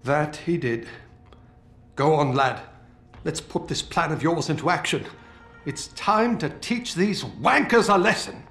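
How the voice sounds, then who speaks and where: An actor playing an older British scientist in a video game, feisty and fatherly, with colourful, expressive delivery. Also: fairly high pitch, unhurried. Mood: resolute. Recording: roomy game audio with music.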